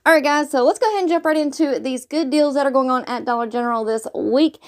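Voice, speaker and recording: A woman hosting a couponing vlog, her fairly high voice a little rough from a sore throat. She talks to viewers in an upbeat, chatty way, calling them "y'all" and "guys.". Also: fast. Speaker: couponing channel host. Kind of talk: casual vlog talk to viewers.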